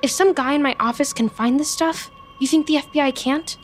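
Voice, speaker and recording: Flustered, high-voiced actress as an anxious young woman. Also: a little fast, faint music behind, close to an everyday voice. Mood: agitated, insistent. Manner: flustered, stammering, wordy. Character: anxious, overeager best friend covering up her vampire friend's killings. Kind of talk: audio drama dialogue.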